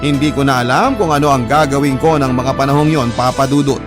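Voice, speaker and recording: A man's voice: mid-range voice, a little fast, lightly acted. A man with a Filipino accent giving dramatic, scripted first-person narration as a radio drama narrator. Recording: clear music behind the narration.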